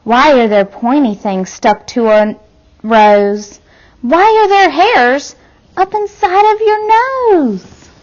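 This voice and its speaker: A woman's voice: sits high, lively intonation, takes it slowly, dull audio. A woman voicing a relentlessly curious little girl scientist from a children's storybook, with wondering, emphatic questioning.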